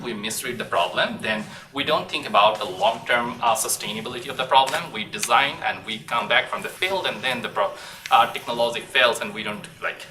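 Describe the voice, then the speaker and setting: A man's voice: medium pitch, bright, forceful tone. A man with a Bangladeshi accent giving an academic lecture in an informal, hesitant way. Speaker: assistant professor presenting research. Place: university lecture room. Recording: echoey room, distant mic, faint noise.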